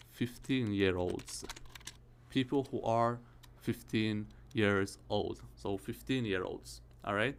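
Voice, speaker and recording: A man in his early thirties gives a grammar lesson at a mid-range pitch. He explains each point step by step and keeps checking in with his viewers. Keyboard typing is heard behind him. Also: fairly bright tone, clean tone. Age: 30s. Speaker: English teacher.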